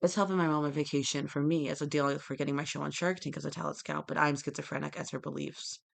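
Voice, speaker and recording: Fast-talking gravelly young man rambling. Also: fairly high pitch, flat delivery. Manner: disjointed, with sudden loud outbursts. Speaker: vlogger. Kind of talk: rambling monologue to camera.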